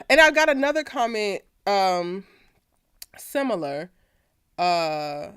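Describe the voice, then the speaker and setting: A woman's voice: medium pitch, clean tone, no echo, studio quality. A woman hosting a casual discussion podcast, talking in a chatty way and speaking directly to her viewers. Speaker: podcast host.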